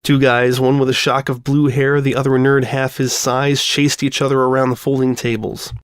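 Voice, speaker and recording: A man's voice: mid-range voice, little pitch movement, normal speed, clear, resonant tone, no room sound, clean studio sound. A man reading a scripted comic story as narrator, telling it expressively. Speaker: fan fiction narrator.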